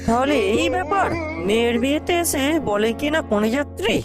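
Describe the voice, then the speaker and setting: A woman's voice: sits high, expressive, fairly quick. A woman voicing a hot-tempered, bossy young village guy in a comedy cartoon, with crude, slangy, confrontational delivery. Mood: aggressive. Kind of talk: exaggerated comedy cartoon dialogue. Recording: loud background music. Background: loud music.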